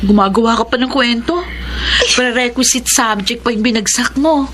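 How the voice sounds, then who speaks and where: An actress playing a responsible, scolding college-age older sister in a Filipino radio drama, nagging and reproachful, with a Filipino accent. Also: female voice, fairly high pitch, normal speed, a bit bright, slight background noise, close to an everyday voice.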